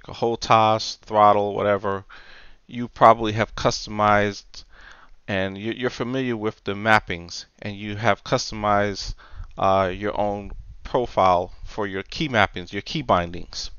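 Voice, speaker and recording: Low, smooth-voiced man giving a tutorial. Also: a bit bright, no echo, dull audio. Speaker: tutorial presenter. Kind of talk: unscripted step-by-step software tutorial narration. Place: indoors, dry voice-over for screen recording.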